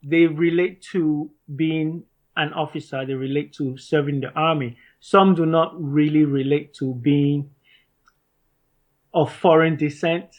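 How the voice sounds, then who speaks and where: A man in his thirties from Cameroon, a startup founder, answering interview questions in a measured, earnest way. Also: a little high, steady tone. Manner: measured, earnest, some restarts.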